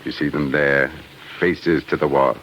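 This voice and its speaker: Very deep-voiced cruel prison commander. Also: male voice, steady tone, unhurried, a bit bright, dull audio. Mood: gloating, amused. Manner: theatrical, imperious, blustering at underlings. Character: cruel, gloating Tsarist prison camp commander, short-tempered bully. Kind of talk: 1940s radio drama acting. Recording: noisy old 1940s radio recording.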